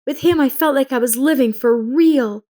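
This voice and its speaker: A woman voicing a hysterical, defiant cheating wife in a story, shouting tearfully and full of contempt. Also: high-pitched, normal speed, voice pushed up, lightly acted.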